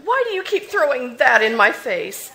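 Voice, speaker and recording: A woman's voice: sits high, very animated, normal speed, creaky, sharp tone, full character voice. A woman playing a resentful sister who lashes out in emotional outbursts, in a live 1940s-style radio drama. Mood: bitter. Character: bitter, easily led younger sister.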